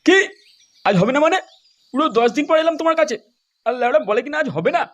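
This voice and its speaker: A man doing a brash, high-pitched cartoon village guy. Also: expressive, quick pace, lo-fi sound. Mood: whining, frustrated. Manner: brash, foul-mouthed, exaggerated. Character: crude, quarrelsome village men in adult comedy cartoon. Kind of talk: exaggerated comedy cartoon voice-over.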